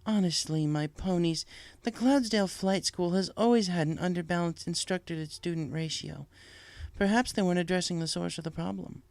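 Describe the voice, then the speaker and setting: A man voicing a gracious, wise female pony princess from a storybook, polite, measured and gently frank. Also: high-pitched, gravelly, no echo, voice pushed up. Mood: calm, probing. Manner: polite, measured, soothing and formal. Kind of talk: storybook character voice.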